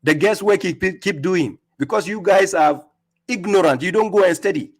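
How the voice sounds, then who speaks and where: Male streamer with a raised pitch. Combative, sneering, emphatic rebuttal. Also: moderate pace. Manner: mocking, taunting, laughs at opponents; emphatic, repeats words when he stumbles. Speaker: livestream host. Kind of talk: combative religious livestream rebuttal. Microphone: streaming setup.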